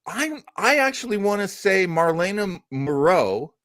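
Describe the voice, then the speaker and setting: Animated older man in casual discussion. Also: sits high, unhurried, no echo, clean studio sound. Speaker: podcast host. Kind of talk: casual fan panel discussion.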